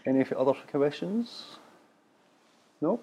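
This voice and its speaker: A man's voice: a little high, expressive, measured pace, dark tone, breathy edge. A man hosting a live event, moderating the question round in a brief, upbeat way. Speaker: event host.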